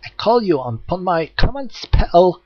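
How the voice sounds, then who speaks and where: A man voicing an anxious, scheming magus who speaks with urgent, demanding excitability in an audiobook story. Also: high-pitched, unhurried, dry recording, lo-fi sound, pitched above the performer's own voice, heavily acted. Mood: demanding, alarmed. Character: anxious, scheming male magus summoning a servant.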